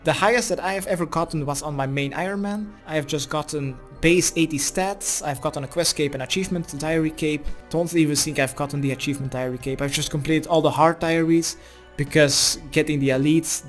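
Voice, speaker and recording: A man in his twenties from Belgium, a Dutch speaker, doing a casual question-and-answer voice-over. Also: medium pitch. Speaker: gaming video creator. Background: music.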